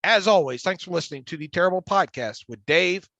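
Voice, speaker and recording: A man co-hosting a casual sports podcast, with a stutter and a gravelly smoker's voice, often restarting and repeating words. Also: high voice, lively intonation, a little fast, a bit bright, dry recording. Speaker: podcast co-host. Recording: dull lo-fi dry sound.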